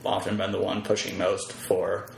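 Low-voiced, reflective man in a roomy space. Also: little pitch movement, moderate pace, creaky. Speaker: podcast guest, web developer and comedy performer. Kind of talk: casual interview conversation. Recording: roomy echo, faint background noise.

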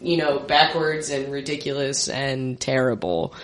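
A woman's voice: very deep voice, a little slow. A young woman from South Carolina chatting on a podcast, earnest and wry. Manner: earnest, wry, laughs at herself. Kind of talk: casual podcast chat. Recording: roomy podcast room.

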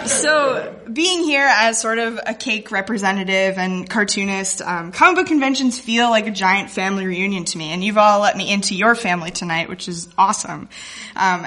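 A woman with a very bright, slightly high voice shares a personal story with a live audience. She speaks as a guest storyteller, with a wry and comic touch to her delivery.

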